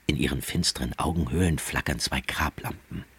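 Narrator for radio drama: man, deep and strongly husky, scripted storytelling. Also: a little fast, fairly bright tone.

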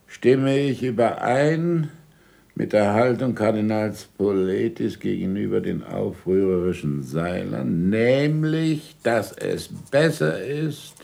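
An actor playing an old, ailing captive scientist, slyly submissive, dictating haltingly with deliberate pauses in a German radio play. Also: male voice, on the low side, lively intonation, creaky, warm tone, heavily acted. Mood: dry, sly. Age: older.

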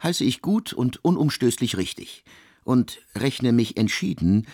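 An actor playing an aloof, cerebral composer who speaks in formal, mannered declarations in a literary radio drama. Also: male voice, medium pitch, very animated, a little slow, a little mellow, dry recording, studio quality. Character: aloof, cerebral male composer with fixed opinions.

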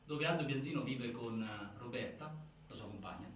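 A man giving dramatic scripted narration, deliberate and full of pauses, recorded from a distance in a very reverberant space. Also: medium pitch. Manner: deliberate, with pauses and repeated phrases. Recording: very echoey stage, distant mic, faint noise.

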